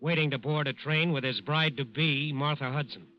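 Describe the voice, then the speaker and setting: A man's voice: fairly high pitch, normal speed. A man narrating a scripted 1940s radio crime drama in a dramatic style. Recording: muffled old radio recording.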